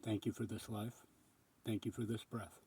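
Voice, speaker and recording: A man with a husky voice giving a rambling, reflective spiritual monologue in an earnest, contemplative manner with emphatic pauses. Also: fairly low pitch, level tone, dark tone, slight background noise. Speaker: spiritual speaker.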